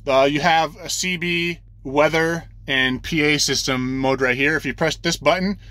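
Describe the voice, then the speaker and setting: Bright-voiced man giving a casual tutorial. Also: fairly high pitch, steady tone, normal speed, no echo. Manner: plain-spoken, informal, repeats instructions step by step. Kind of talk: casual hands-on explainer. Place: inside a truck cab.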